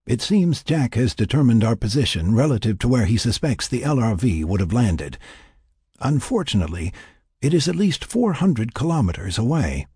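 A man voicing a reflective, formal scientist keeping an expedition journal in an audiobook, in measured, wordy phrases. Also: medium pitch, big pitch swings, mellow, breathy edge, no echo, studio quality, voice pushed up, exaggerated voice. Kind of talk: first-person audiobook narration.

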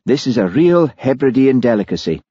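Audiobook role: earnest, easily flustered young man, done by a male reader, animated, slightly husky, dry close recording. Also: mid-range voice, a little fast, no room sound, lo-fi sound, pitched above the performer's own voice. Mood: proud, eager.

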